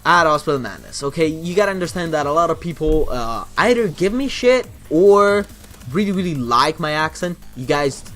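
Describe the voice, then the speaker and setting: A man's voice: fairly high pitch, expressive, normal speed, a bit bright, faint music behind. A young man from Italy with an Italian accent, answering viewer questions in a casual vlog, rambling and swearing often, with many restarts. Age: young adult. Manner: rambling, profane, frequent restarts. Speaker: YouTuber and streamer.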